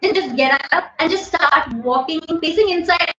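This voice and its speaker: Indian English woman, 30s, high and loud, casual livestream host; echoey bedroom, dull phone audio. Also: normal speed. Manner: chatty, laughs often, thanks viewers by name. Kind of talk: casual live Q&A. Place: bedroom at home. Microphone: phone camera at desk distance.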